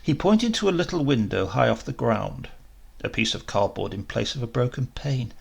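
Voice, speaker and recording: Gravelly, husky man reading a story. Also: medium pitch, lively intonation, normal speed. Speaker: audiobook narrator reading a short story. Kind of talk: dramatic scripted literary narration.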